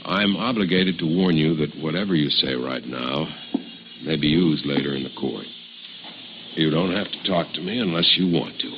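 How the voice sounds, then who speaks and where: An actor playing a stern, pressing deputy district attorney in a radio drama, speaking formally and forcefully with deliberate phrasing. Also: male voice, a bass voice. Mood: formal, businesslike. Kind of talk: 1950s radio drama acting. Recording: muffled, noisy old radio recording.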